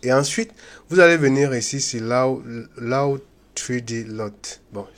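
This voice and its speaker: A man hosting a software tutorial, talking loosely and chattily with many fillers and restarts, and speaking straight to his viewers in a friendly way. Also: medium pitch, unhurried. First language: not English. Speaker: YouTube tutorial host. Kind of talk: unscripted screen-recorded software tutorial.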